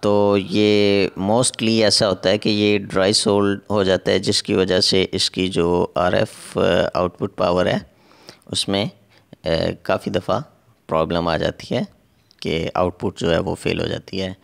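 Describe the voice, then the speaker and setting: A man's voice: low voice, little pitch movement, measured pace, gravelly, clean tone. A man narrating a step-by-step repair tutorial as an instructor, in a methodical way with repetitive phrasing.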